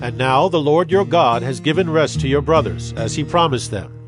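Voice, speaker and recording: An actor playing a commanding Israelite leader, earnest and declamatory, in a dramatized scripture reading. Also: male voice, fairly high pitch, expressive, moderate pace, over music, natural voice. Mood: solemn exhortation.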